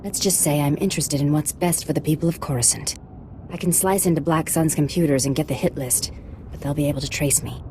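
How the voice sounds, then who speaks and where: A woman's voice: low-pitched, fairly quick, mellow, slight background noise, close to an everyday voice. An actress voicing a shrewd, secretive female agent in a sci-fi video game, cool, sly and confident. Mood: urgent.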